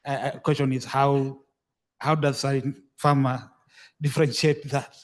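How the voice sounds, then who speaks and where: A man's voice: mid-range voice, little pitch movement, unhurried. A man asking a question conversationally in a panel discussion, phrasing it tentatively.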